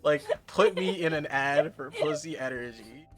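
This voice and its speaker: A man's voice: fairly high pitch, normal speed, over music. A man hosting a casual interview, chatting in a laid-back, agreeable way and laughing easily. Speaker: interviewer, host.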